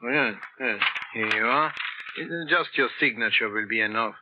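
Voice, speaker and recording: An actor playing a calm, courteous male psychiatrist in a 1940s radio drama, speaking in a measured, formal way. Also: mid-range voice, natural voice. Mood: measured, reassuring. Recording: muffled old radio, faint noise.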